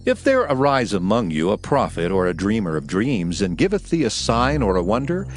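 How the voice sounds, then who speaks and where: A man's voice: fairly low pitch, wildly swooping pitch, normal speed. A man playing a solemn lawgiver preaching commandments, declaiming in a dramatized scripture reading with music behind him. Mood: stern, commanding. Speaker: scripture narrator.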